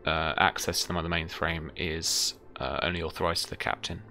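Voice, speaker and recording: A young man narrating a tabletop game as game master, in halting, thoughtful phrases, speaking indoors over an online call. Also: sits low, flat delivery, vocal fry, sharp tone. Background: faint music.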